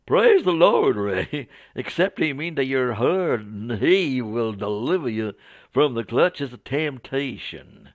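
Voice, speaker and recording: A man's voice: medium pitch, animated, slow pace, no echo, studio quality, pitched above the performer's own voice. A man voicing a zealous, naive Southern American missionary in a told story, with a folksy, preachy drawl. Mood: earnest zeal.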